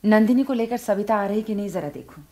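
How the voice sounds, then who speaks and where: Actress as caring but reproachful wife in a radio play, very lively pitch, slightly husky. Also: female voice, brisk, close to an everyday voice. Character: middle-class wife and mother, caring, reproachful, principled. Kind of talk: Hindi radio drama acting.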